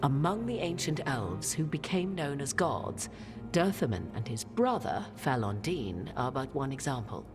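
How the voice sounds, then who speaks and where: An ancient witch of a fantasy game, imperious and cryptic. The voice is low for a woman and swoops expressively through formal, archaic lines. Music plays clearly behind the studio-recorded speech. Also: sing-song, exaggerated voice. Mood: wry, imperious. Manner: formal, archaic, deliberate, theatrical phrasing. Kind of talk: dramatic fantasy game dialogue. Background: background music.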